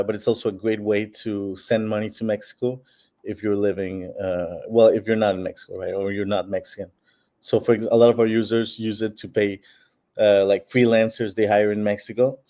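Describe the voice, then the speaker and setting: A man's voice: fairly low pitch, mellow. A man from Peru, a company founder answering interview questions in an explanatory way with many fillers and restarts. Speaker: founder of a bitcoin platform.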